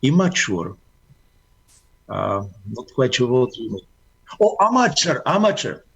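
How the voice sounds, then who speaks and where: Husky man. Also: mid-range voice, very animated, fairly bright tone. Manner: halting, polite. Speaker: guest presenter at an astronomy club. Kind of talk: informal question-and-answer after a presentation. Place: online video meeting.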